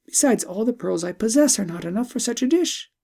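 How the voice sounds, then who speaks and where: A man acts out a young fairy-tale princess, earnest and practical. The pitch is lifted and moves freely, and the formal words are delivered with lively expression. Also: a little fast, vocal fry, exaggerated voice. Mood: amazed, doubtful. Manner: expressive, formal storybook diction. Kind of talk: audiobook storytelling.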